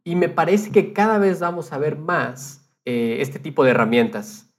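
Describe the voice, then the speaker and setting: A man's voice: fairly high pitch, normal speed. A man podcaster chatting casually and explaining things conversationally, checking in with his listener as he goes.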